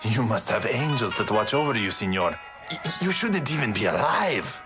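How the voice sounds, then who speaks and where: A grumbly mexican accent doctor in a game, reluctant, lively mid voice, music behind. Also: male voice, on the low side, moderate pace. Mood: solemn.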